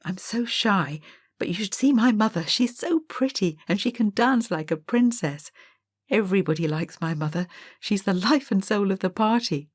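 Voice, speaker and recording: A woman's voice: mid-range voice, lively intonation, normal speed, slightly rough, dry recording, clean studio sound. A woman voicing a shy, lonely young evacuee girl in an audiobook, with a wistful, childlike way of talking. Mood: admiring, wistful.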